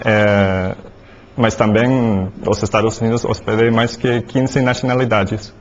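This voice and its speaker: A man with an American English accent speaking Portuguese as a second language, pausing often with fillers as a seminar guest. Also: lowish voice, fairly even intonation. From United States. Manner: halting, frequent fillers. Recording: dull lo-fi sound, faint noise.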